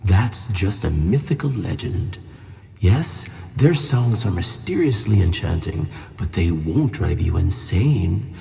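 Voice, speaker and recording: A man's voice: deep voice, sing-song, slow pace, gravelly, warm tone, full character voice. A man voicing a reassuring, mysterious flying tuna fish in a children's audio play, earnest and soothing. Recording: long reverb on the voice.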